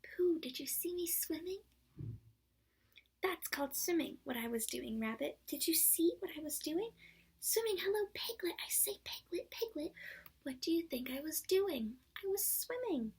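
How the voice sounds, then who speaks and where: Tiny boy kangaroo, squeaky, show-off, eager; a woman voices him. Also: expressive, normal speed, darkish tone. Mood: excited proud. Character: excitable tiny young male kangaroo.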